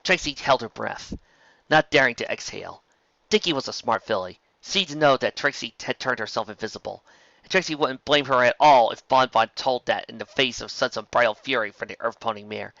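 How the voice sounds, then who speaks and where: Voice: medium pitch, a little fast, creaky, a bit bright, no room sound, dull audio. A narrator reading scripted fan fiction as an audiobook, in a storytelling style.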